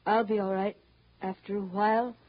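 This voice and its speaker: An actress playing a weary, gentle, resigned showboat performer in a 1930s radio drama, speaking haltingly. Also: female voice, medium pitch, level tone, slightly rough, lightly acted. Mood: unwell, evasive. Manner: halting, pleading. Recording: muffled, noisy 1930s radio broadcast recording.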